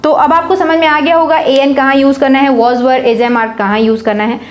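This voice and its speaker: A woman teaching a beginners' English grammar lesson at a board. She patiently asks the class questions, answers them herself, and says example sentences slowly, word by word. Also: sits high, fairly even intonation, echoey room, a little hiss or hum.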